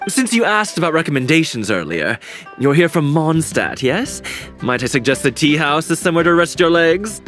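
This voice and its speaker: A man's voice: on the high side, big pitch swings, moderate pace, a bit bright, faint music behind. An actor playing a courteous, chatty male merchant in a video game. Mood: friendly. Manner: courteous, rambling.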